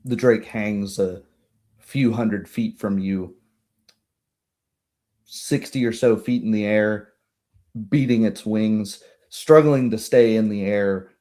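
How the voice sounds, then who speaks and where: Slow, mellow male game master. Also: lowish voice. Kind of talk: descriptive tabletop roleplay narration.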